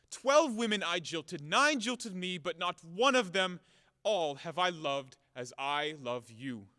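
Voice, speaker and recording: Man playing high-voiced, hot-headed lovestruck gentleman. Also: exaggerated voice. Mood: fervent, desperate. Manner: melodramatic, declamatory, swinging from bluster to pleading. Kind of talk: theatrical audio play acting. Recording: long hall reverb, sometimes off-mic.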